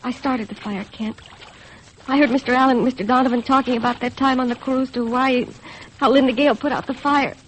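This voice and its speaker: Actress as a timid high-voiced young woman. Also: steady tone, fast talker, natural voice, low-quality recording. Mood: meek, wistful. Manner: timid, hesitant. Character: helpless, apologetic, fragile young woman at sea. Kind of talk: 1950s radio melodrama acting. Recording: old radio recording, faint noise.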